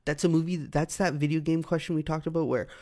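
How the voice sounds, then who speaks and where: A man's voice: fairly high pitch, fairly even intonation, fast, darkish tone. A man co-hosting a casual podcast, chatting in a jokey, crude way with friends. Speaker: podcast co-host. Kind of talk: casual, joking chat.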